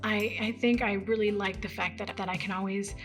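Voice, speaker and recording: A woman's voice: medium pitch, fairly even intonation, brisk. A woman in her thirties from Dallas, Texas, speaking conversationally with casual fillers in an interview. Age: 30s. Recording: faint music underneath.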